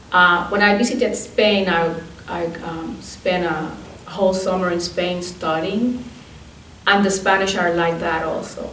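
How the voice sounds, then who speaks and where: Woman in her 50s from Puerto Rico. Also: lowish voice. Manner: thoughtful, with frequent um and uh pauses. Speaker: oral history interviewee. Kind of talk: recounting her life story. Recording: echoey room from far off, faint noise.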